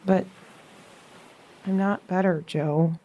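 Lowish, weary young American sister, played by a woman. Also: fairly even intonation, takes it slowly, mellow, highs cut off, a little hiss or hum. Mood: resigned, earnest. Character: weary, frail young younger sister, gentle but frank. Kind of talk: modern audio drama acting.

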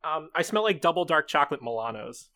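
A woman co-hosting a casual comedy podcast, her voice sitting low but with a bright, forward edge. She jokes around with a playful drawl and giggles often. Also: sing-song, quick pace. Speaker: podcast co-host.

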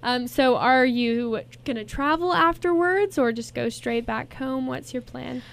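A woman co-hosts a podcast with a high, bright-sounding pitch. She asks friendly questions and fills every pause with quick words of encouragement. She is from the southern United States. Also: creaky. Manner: effusive, quick encouraging interjections. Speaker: co-host. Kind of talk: casual interview.

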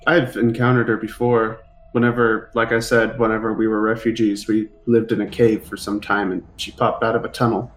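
A man's voice: on the low side, flat delivery, normal speed, slight background noise. A man playing a tabletop roleplaying game, chatting casually in character with the group.

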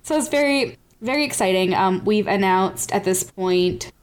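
A woman co-hosting a casual podcast, chatting enthusiastically and laughing often, recorded on a mic with the gain set too high. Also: animated, moderate pace, clear, resonant tone. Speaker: podcast co-host. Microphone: gain set too high, sounds blown out.

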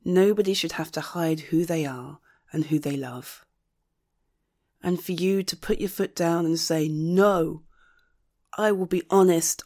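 Slow, earnest, protective friend acted by a woman. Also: fairly low pitch, darkish tone. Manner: earnest, emotional, halting, with sighs and emphatic repetition. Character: loyal, fiercely protective woman friend comforting someone. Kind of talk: intimate one-sided audio roleplay acting.

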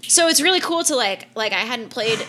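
A young adult woman co-hosting a casual podcast, with a bright, forward voice. She gushes enthusiastically, swearing freely and filling her sentences with "like" and "um". Faint background noise runs under the recording. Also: on the high side, animated, fairly quick. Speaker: podcast co-host. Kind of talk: casual chat.

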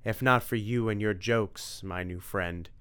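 A man voicing a solemn, formal warrior hero in a read-aloud story, speaking gravely. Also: lowish voice, measured pace, a bit bright.

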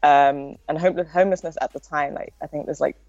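A woman's voice: low voice, fairly even intonation, brisk, darkish tone, dry recording, lo-fi sound. A young woman with a nasal voice, chatting casually as a podcast guest and filling her talk with likes and ums. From Britain. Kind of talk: casual interview chat.

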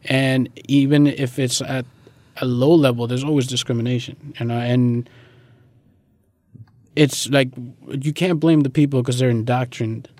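A man's voice: medium pitch, steady tone, a little slow, a little mellow, no echo. Young man talking casually, dry close sound. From Guatemala. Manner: informal, slangy, peppered with "like" and "you know". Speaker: interviewee. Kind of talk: casual conversational interview.

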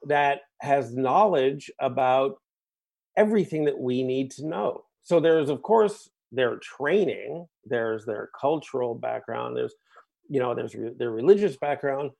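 A man's voice: medium pitch, lively intonation, a little slow, creaky, darkish tone. A man from the United States, a musician being interviewed, talking in a reflective, discursive way and often checking in with "right?" and "you know". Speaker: guest musician.

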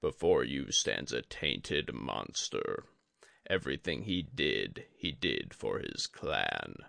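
Guy doing a solemn old tainted sorcerer teacher for a fantasy audiobook, super low n gravelly, slow n measured. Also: big pitch swings, pushed and bright, dry recording, clean studio sound, exaggerated voice. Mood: solemn, resigned.

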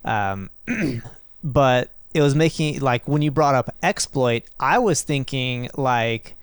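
A man hosting a casual gaming podcast, upbeat and playful, rambling quickly through asides. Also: medium pitch, animated, smooth, no room sound, studio quality. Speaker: podcast host.

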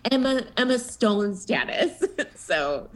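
A woman co-hosting a podcast in casual chat, animated and giggly, with lively storytelling asides. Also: a little high, slow, slight background noise. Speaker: podcast co-host.

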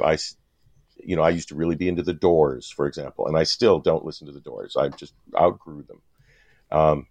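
A man's voice: a bass voice, vocal fry, a little mellow, no room sound. A man in his fifties from Wisconsin, a guest cartoonist telling stories in a casual podcast chat, rambling with fillers and restarts. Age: 50s.

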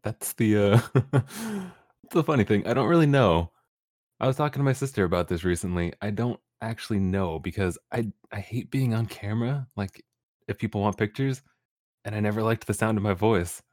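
A man's voice: fairly low pitch, moderate pace, darkish tone, dry recording, studio quality. A young man, a streamer guest on a podcast, talking casually with easy laughs and rambling restarts. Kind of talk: casual interview chat.

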